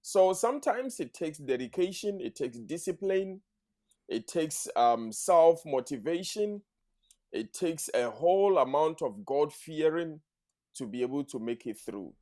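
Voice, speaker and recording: A man, a math teacher talking as a podcast guest in an earnest, measured way, using full formal sentences. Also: a little high, lively intonation, dark tone. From Ghana. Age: 30s. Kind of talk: podcast interview.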